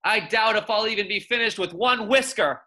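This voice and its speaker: A young man voicing a proud, quick little schoolgirl artist in a storybook, with emphatic delivery. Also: high voice, pushed and bright, pitched above the performer's own voice. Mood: indignant.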